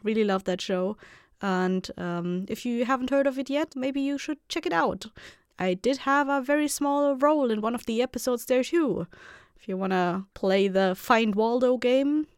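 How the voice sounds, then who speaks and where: Woman, podcast creator-host, casual chat, playful, smooth clear voice, very dry recording. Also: fairly high pitch, normal speed, no echo.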